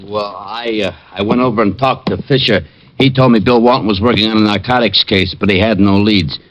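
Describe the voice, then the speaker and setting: A man's voice: on the low side, steady tone, close to an everyday voice. A man playing a dim bumbling police sergeant. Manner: slow, halting, bumbling. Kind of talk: 1950s radio drama acting. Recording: dull lo-fi old radio recording with faint hiss.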